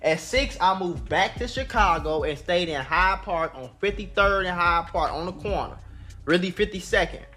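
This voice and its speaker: High, bright-voiced man rambling. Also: animated, moderate pace. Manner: rambling, correcting himself mid-sentence. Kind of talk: casual talk from a played clip.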